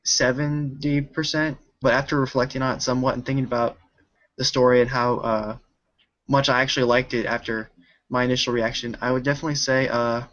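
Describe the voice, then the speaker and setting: A man's voice: mid-range voice, level tone, fairly quick, a bit bright, rough recording. A young man talking casually as an amateur film critic, informal and loosely rambling. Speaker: amateur film critic on a group review show. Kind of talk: casual review chat.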